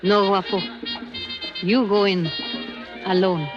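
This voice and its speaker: A woman's voice: mid-range voice, steady tone, slow, natural voice. An actress playing a sultry, wistful Cuban woman in a 1940s radio drama. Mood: wistful, insistent. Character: sultry, wistful Cuban woman called Midnight, knowing and tender. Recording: muffled old radio recording. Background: dramatic music.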